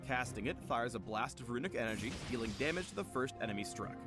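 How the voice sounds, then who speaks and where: A man's voice: mid-range voice, expressive, fast. A man narrating a scripted video game guide with playful, informal phrasing. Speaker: narrator of a game champion spotlight. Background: music.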